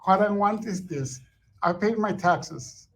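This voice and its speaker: A man's voice: high voice, slow, fairly bright tone. A man, a former school teacher and parent, arguing his point insistently and repeating himself for emphasis. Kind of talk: heated confrontation.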